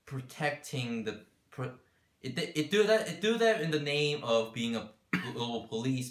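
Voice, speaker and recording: A young man born in the United States, raised partly abroad, talking earnestly about his life and beliefs. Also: mid-range voice. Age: young adult. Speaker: interviewee. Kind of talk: personal account. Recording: echoey room.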